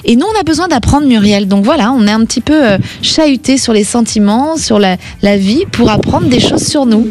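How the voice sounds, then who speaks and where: A woman's voice: on the high side, animated, brisk, hardly any pauses, a little hiss or hum. Reassuring middle-aged woman radio psychic. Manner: reassuring, gently advising, frequent tag "hein". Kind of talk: call-in radio consultation.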